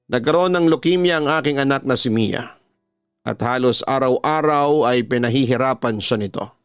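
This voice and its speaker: A man's voice: mid-range voice, no room sound. A man with a Filipino accent reading a listener's letter as scripted dramatic narration, as the host of a radio drama program. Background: background music.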